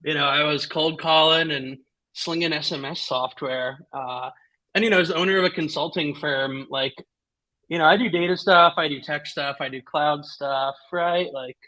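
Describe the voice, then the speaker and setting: A man in his thirties co-hosts a relaxed tech talk over a video call. He rambles and fills his sentences with little verbal tics. His voice is bright and sits a bit high. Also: normal speed, low-quality recording. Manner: rambling, full of "like" and "you know". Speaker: podcast co-host. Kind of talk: casual chat.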